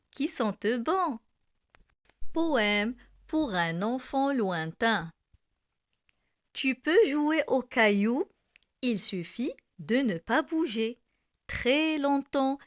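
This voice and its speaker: A woman reciting a children's poem in a deliberate, measured manner, with pauses between phrases. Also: high voice. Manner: deliberate, with repeated lines and pauses. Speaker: poem reciter for primary school learners.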